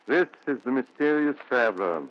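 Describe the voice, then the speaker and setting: An actor playing a measured, theatrical storyteller-doctor in 1940s radio thriller style. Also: male voice, medium pitch, animated, close to an everyday voice. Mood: sinister, inviting. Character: ominous mysterious storyteller, also a calm reassuring doctor. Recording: muffled 1940s radio recording.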